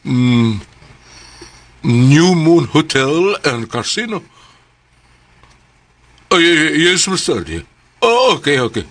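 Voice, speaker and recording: A man playing a wealthy, firm landowner in a Filipino radio drama, speaking in a measured, hesitant manner. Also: a little high, very animated, a bit bright, full character voice. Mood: businesslike. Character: wealthy, firm, unhurried male landowner and boss Rafael.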